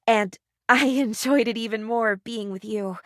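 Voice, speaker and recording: Eager, high-voiced, quirky young lady doctor. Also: a little slow, a bit bright, dry recording, studio quality. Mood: excited, grateful. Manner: theatrical, gushing, old-fashioned whimsical wording. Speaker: voice actress in a dating game. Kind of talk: animated video game character acting.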